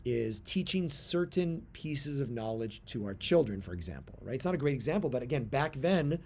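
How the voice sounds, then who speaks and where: Man teaching with deliberate emphasis. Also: mid-range voice, a little mellow, a little hiss or hum. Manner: deliberate emphasis on key words, pausing and rephrasing. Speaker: religious studies teacher. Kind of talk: explanatory video lesson, reading and translating texts.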